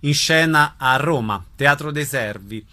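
Male announcer, crisp scripted read with a sharp, forceful tone. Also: mid-range voice, a little slow. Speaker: radio announcer. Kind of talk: scripted announcement of show credits.

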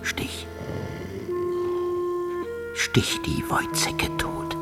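An actor playing a tormented, haunted soldier in a radio drama, speaking haltingly and often dropping towards a whisper. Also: male voice, very high-pitched, completely flat delivery, over loud music, full character voice. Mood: haunted, agitated. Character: tormented, haunted young soldier hearing voices, submissive to superiors. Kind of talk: intense radio drama acting.

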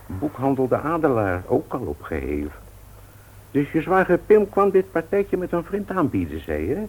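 An actor playing a shrewd, stern uncle with a knowing, pointed way of talking in a Dutch radio play. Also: male voice, a little high, sing-song, normal speed, a little hiss or hum, rough recording. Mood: shrewd, dry. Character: shrewd, stern older uncle exposing a wrongdoing.

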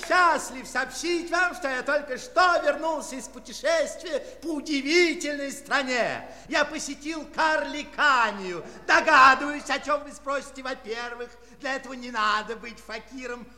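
An actor playing a pompous, excitable circus magician in a children's audio play, announcing grandly and drawing out his words. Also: very high voice, moderate pace, few pauses, full character voice. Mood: showy, delighted. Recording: echoey hall sound, faint crowd behind.

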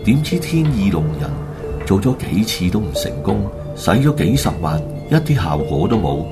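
A man's voice: sits low, big pitch swings, normal speed, music in the background. A man narrating a radio drama in a dramatic storytelling style.

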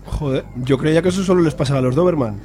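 A man playing a loyal, crude friend who talks in quick slang. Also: fairly high pitch, mellow, slight background noise, lightly acted.

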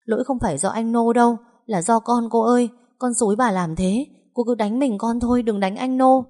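A woman's voice: a little high, fairly quick, darkish tone, pitched above the performer's own voice, lightly acted. A woman voicing a loyal servant girl who begs tearfully for mercy. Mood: begging. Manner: begging, tearful.

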